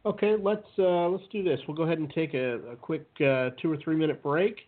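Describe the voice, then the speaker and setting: A man's voice: fairly high pitch, a little fast. A man hosting an interview show in a polite, informal way, heard through narrow phone-like sound. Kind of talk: casual hosting talk.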